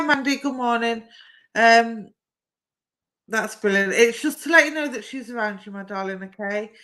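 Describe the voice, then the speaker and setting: A middle-aged woman, chatting warmly through a live-stream card reading and calling viewers "my darling". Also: lowish voice. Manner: chatty and affectionate, says "my darling" often. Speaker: psychic medium giving live readings.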